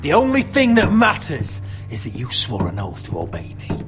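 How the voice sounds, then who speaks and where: A man's voice: mid-range voice, wildly swooping pitch, normal speed. A man playing a stern, wounded young lord in a dramatic fantasy game, commanding and intense. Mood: stern anger. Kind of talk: dramatic video game acting. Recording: loud music under the voice.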